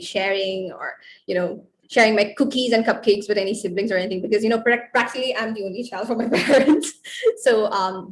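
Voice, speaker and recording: A woman's voice: mid-range voice, a little hiss or hum, rough recording. A woman of twenty-four speaking on an online panel, friendly and hesitant with many ums. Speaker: panelist, mass communication student. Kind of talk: casual panel chat.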